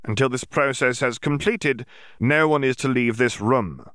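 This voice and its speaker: A man's voice: medium pitch, moderate pace, fairly bright tone, no room sound, clean studio sound, voice pushed up, lightly acted. A man voicing a smug, brusque middle-aged Auror chief who speaks with sneering authority.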